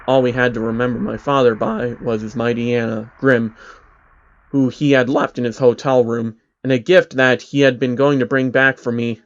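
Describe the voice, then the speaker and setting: A man's voice: mid-range voice, level tone, normal speed. Man narrating a story, slightly dull audio. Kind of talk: scripted dramatic story narration. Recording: dull lo-fi audio under music.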